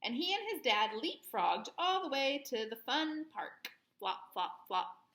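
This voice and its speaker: Woman reading a kids book out loud, slow and really expressive, high voice, echoey room. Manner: animated, playful sound words. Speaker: children's read-aloud host. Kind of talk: expressive storybook narration.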